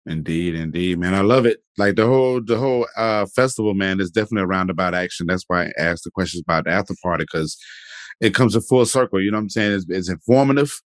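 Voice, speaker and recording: A young man, hosting a podcast interview in a relaxed, chatty way with lots of fillers. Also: deep voice, quick pace, no room sound. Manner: laid-back, filler-heavy, repeats "definitely" and "man". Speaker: podcast host. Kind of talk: casual interview chat.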